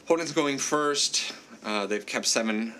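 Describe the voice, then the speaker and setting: A man's voice: mid-range voice, measured pace, sharp tone, a little hiss or hum. A man with a congested, stuffy voice casually commenting on a card game he is playing, thinking aloud, laughing at himself and apologizing to his opponent. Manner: chatty, self-deprecating, thinks aloud with frequent laughs. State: congested from a cold. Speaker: gameplay commentator.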